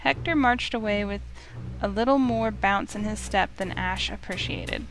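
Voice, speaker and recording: A woman's voice: medium pitch, moderate pace, rough recording. A woman narrating a visual novel, reading the story aloud in a dramatic scripted style. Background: music.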